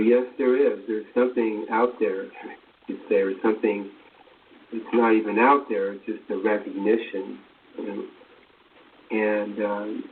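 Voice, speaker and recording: A man with a lowish voice speaks in a halting, earnest way, circling back over his words as in a spiritual talk. He sounds far from the mic on a thin phone-like line, with faint noise behind him.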